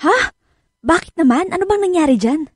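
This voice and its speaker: A woman's voice: very high voice, lively intonation, a little fast, darkish tone, no echo, studio quality. An actress playing a caring, inquisitive wife and mother in her thirties in naturalistic radio drama dialogue. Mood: concerned. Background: music bed.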